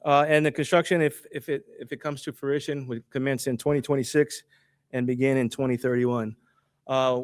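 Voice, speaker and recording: A man from the school district speaks quickly at a board meeting. His voice sounds dry and close, and his delivery is brisk with small stumbles. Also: mid-range voice, no echo.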